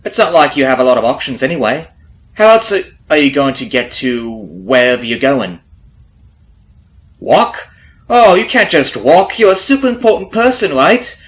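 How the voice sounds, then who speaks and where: A man's voice: mid-range voice, lively intonation, moderate pace, lightly acted. A man playing a nosy, falsely friendly substitute carriage driver in an ASMR roleplay, rambling folksily to the listener with sly undertones. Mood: breezy, chatty. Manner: folksy, rambling, nosy, hesitant with mock-innocent asides. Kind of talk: ASMR roleplay audio drama, addressing the listener. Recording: noisy, horse and carriage ambience behind. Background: clip-clopping horse, carriage ambience.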